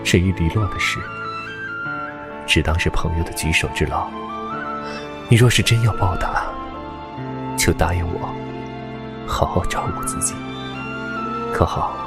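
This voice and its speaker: An actor playing a tender, hesitant, lovelorn immortal nobleman in a Chinese audio drama, speaking in halting, breathy, earnest phrases. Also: sits low. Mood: wistful. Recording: loud sad new-age music behind.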